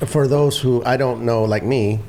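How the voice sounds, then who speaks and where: A man's voice: mid-range voice, steady tone, moderate pace, a little mellow. A man talks in a loose, casual podcast style, with a mid-range voice. There is a little noise around him. He sounds like a co-host at an informal tasting. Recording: some background noise.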